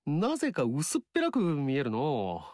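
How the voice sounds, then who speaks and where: An actor playing a cheeky, folksy upstart warlord in a video game, speaking in a rustic dialect. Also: male voice, on the high side, huge pitch swings, normal speed, darkish tone, no room sound. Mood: teasing. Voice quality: rustic dialect speech, teasing. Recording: dry studio recording.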